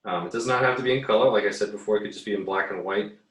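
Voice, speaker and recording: Low-voiced man teaching in an echoey room. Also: fairly bright tone. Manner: halting, thinking aloud while working. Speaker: art teacher giving a demonstration. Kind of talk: casual, unscripted software tutorial. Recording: echoey, roomy sound.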